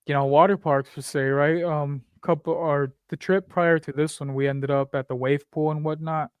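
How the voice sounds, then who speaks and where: Profane, joking Texan man, higher voice. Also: steady tone, normal speed, smooth, dry recording, lo-fi sound. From Texas, near the Mexican border. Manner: profane, joking, rambling storyteller. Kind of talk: casual podcast chat.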